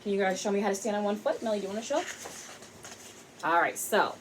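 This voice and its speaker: A woman reading a picture book aloud to young children, expressive and speaking to them directly. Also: mid-range voice, fast talker, a little hiss or hum. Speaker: parent and teacher reading aloud.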